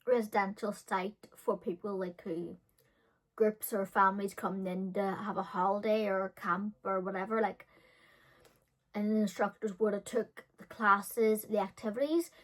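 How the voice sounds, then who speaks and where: A woman in her thirties talks in a casual vlog storytelling style. She rambles, pads her sentences with fillers and doubles back to correct herself. Her pitch sits in an average range for a woman. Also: steady tone, normal speed, low-quality recording. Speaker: beauty and storytime vlogger.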